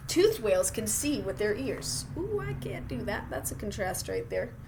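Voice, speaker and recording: A woman reads a children's science book aloud in the manner of a teacher. Her mid-pitched voice moves up and down in a lively way, with exclamations of wonder and encouraging asides. There is faint noise behind her. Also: normal speed, gravelly. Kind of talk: animated read-aloud with chatty asides to children.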